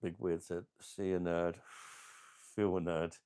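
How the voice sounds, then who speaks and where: Deep-voiced man with a sore throat. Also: little pitch movement, takes it slowly, mellow, clear, resonant tone. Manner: rambling, laid-back, chuckling between remarks. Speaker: beer review channel host. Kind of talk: casual livestream chat reading viewer comments.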